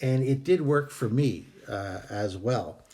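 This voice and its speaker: A man hosting a video, calmly reading out and explaining a meditation technique with some hesitant fillers, while fighting a cold that is costing him his voice. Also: medium pitch. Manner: calm, measured, with hesitant fillers. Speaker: host.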